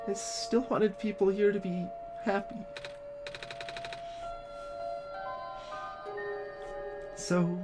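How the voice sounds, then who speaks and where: A woman voicing a gentle, selfless lost child in a video game, speaking in a hesitant, earnest way. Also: medium pitch, lightly acted. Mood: hesitant, reflective. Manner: hesitant, soft-hearted, earnest. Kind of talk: voiced video game dialogue reading.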